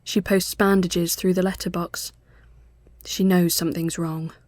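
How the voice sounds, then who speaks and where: Female narrator for a dark literary story: unhurried, hushed, slightly low voice, very dry sound. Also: flat delivery, no room sound. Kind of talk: literary first-person dramatic narration.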